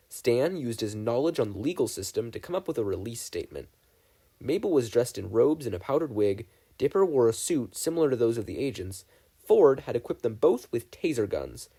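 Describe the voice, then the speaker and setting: A male audiobook-style narrator reads scripted fiction. His voice is mid-pitched and soft-edged, with a lively, varied intonation. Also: slightly rough. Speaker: podfic reader.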